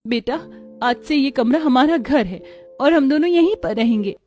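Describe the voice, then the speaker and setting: A woman voicing a gentle, patient young wife and mother in a cartoon, who talks softly and pleads. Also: high voice, expressive, moderate pace, faint music behind. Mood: sad. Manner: soft, pleading. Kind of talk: Hindi cartoon voice acting.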